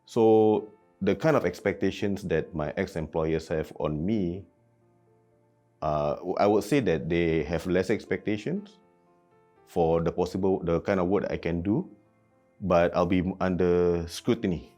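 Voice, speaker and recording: A man speaks in a very deep, dark-toned voice. He explains his experience in a measured way, with light music underneath. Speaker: interviewee.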